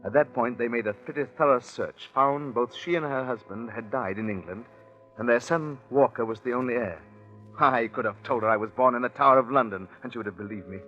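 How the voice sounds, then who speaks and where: A male actor as a glib impostor and murderer in 1940s radio suspense, with a medium-pitched voice. He confides like a chatty storyteller with cold calculation underneath. The old recording is muffled, with music clearly behind him. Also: a little fast, bright, forceful tone, natural voice. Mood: confiding, calculating. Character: smooth-talking impostor and cold-blooded murderer narrating his crime. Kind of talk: 1940s radio suspense acting, confessional narration.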